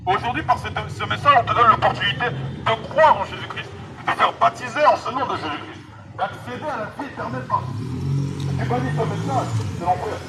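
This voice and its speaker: A man delivers a fervent outdoor sermon in a high-pitched voice. He speaks through a megaphone, repeating key phrases emphatically as he addresses people passing by. The street around him is clearly noisy, with vehicles behind his voice. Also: expressive, brisk. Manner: exhortatory, emphatic, repetitive, addressing passers-by directly. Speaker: street evangelist.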